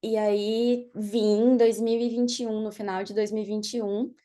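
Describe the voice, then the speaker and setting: A young woman from Brazil, a podcast guest, chatting casually and telling her story in an animated way, laughing often. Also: mid-range voice, moderate pace. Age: young adult. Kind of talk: casual interview chat. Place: podcast studio.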